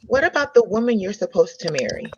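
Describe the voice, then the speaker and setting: A woman's voice: mid-range voice, big pitch swings, quick pace, lightly acted. A woman playing a hurt, proud young woman turning away a suitor in a comedic table read, wounded and firm. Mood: hurt, indignant. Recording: dull lo-fi sound with faint noise.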